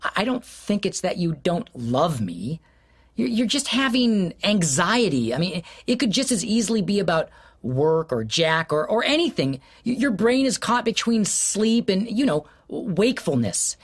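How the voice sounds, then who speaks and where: A practical husband in a radio drama, patient and soothing. His voice is husky and his pitch moves a lot as he reasons with her. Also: sing-song, moderate pace, full character voice. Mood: reassuring. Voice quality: soothing, patient, stammers on restarts. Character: pragmatic, reassuring husband, a claims adjuster. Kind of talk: scripted radio drama acting.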